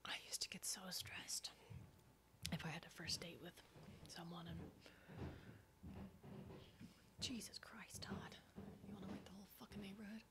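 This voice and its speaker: A woman's voice: mid-range voice, steady tone, moderate pace, lightly acted. A woman playing a brash, wisecracking zombie-apocalypse survivor in a whispered ASMR horror roleplay, casual, rambling and sweary. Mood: wry, confiding.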